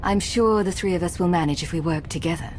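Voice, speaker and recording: A woman playing a composed, diplomatic agent and commander in a video game, speaking calmly and with tactful control. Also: fairly low pitch, fast, a little hiss or hum, natural voice. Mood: calm, probing. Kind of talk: dramatic video game dialogue.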